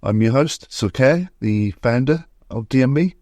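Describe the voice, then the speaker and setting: A man's voice: mid-range voice, lively intonation, moderate pace, a little mellow, no room sound, faint music behind. A young man hosting a podcast, with a mid-range voice recorded close and dry. He asks his questions casually, wandering through his phrasing with plenty of fillers. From England. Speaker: podcast host. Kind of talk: podcast interview.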